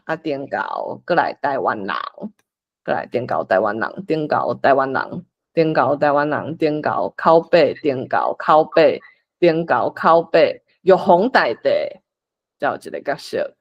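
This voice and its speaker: Informal Taiwanese facilitator with very lively pitch. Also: a little slow, highs cut off. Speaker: workshop facilitator. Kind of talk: explaining and organising a group script reading.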